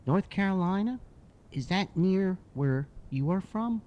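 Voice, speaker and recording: An eager, chatty little boy full of questions, as a man puts him on. The voice sits well above a grown man's speaking pitch and swings up and down on every question. He sounds lonely but bright and keen to hear more. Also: lively intonation, muffled recording. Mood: eager, curious. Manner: eager rapid questions in a raised childlike pitch.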